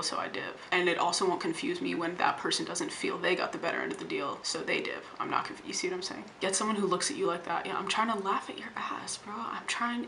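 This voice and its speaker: Blunt deep-voiced young woman, gravelly. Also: slight background noise, poor audio. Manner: blunt, wry and profane; often hushed, half-whispering. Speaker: vlogger. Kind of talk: casual vlog talk to camera. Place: home room.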